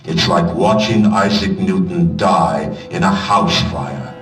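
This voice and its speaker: An actor playing a coldly condescending, eloquent businessman in a video game, speaking formally and deliberately. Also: low voice. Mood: condescending admiration. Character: smooth condescending businessman, coldly admiring, grandly intellectual. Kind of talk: video game dramatic dialogue. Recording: roomy, distant, loud music behind.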